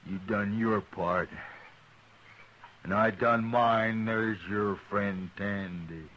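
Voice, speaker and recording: A man's voice: on the low side, steady tone, takes it slowly, fairly bright tone, lo-fi sound. An actor playing a brutal male outlaw on a 1950s radio western, with menacing speech and coarse frontier grammar. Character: brutal vengeful male outlaw, touchy about being laughed at. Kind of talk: 1950s radio drama acting.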